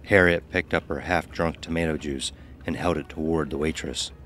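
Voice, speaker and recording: A man's voice: rumbling low, steady tone, moderate pace, fairly bright tone, a little hiss or hum. A man reading scripted story narration as the narrator of an audio drama.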